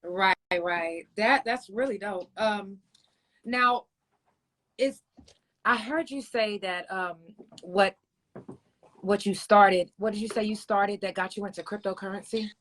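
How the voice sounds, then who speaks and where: A woman's voice: mid-range voice, slow, a bit bright. A woman hosting a casual interview podcast, chatting in an affirming, slangy way. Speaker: podcast host.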